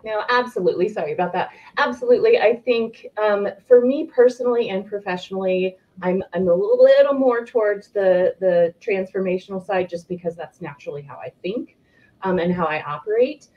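A woman's voice: medium pitch, brisk. Thoughtful, hesitant woman on muffled call. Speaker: college faculty panelist. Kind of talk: panel discussion. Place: home room, close to computer on video call. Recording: slightly dull video-call audio.

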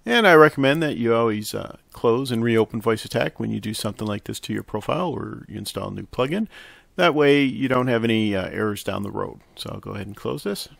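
A man narrating a casual software tutorial, explaining step by step in an instructional way with hesitant pauses. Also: mid-range voice, expressive, vocal fry, no room sound. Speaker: tutorial host. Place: close, dry indoor voice-over for a screen recording.

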